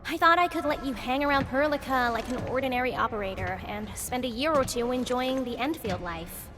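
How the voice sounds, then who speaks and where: An actress voicing a calm, friendly, knowing female mentor in a video game, explaining in a composed, familiar way. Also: high voice, fairly quick, background noise, close to an everyday voice. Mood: explaining, reflective. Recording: long reverb, music behind.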